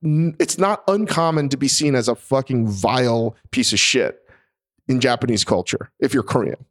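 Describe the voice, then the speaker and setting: A man in his forties co-hosting a podcast in casual chat. He rambles, restarts his sentences, and swears freely. His voice sits at an ordinary middle pitch. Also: moderate pace. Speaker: podcast co-host. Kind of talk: casual chat about a TV show.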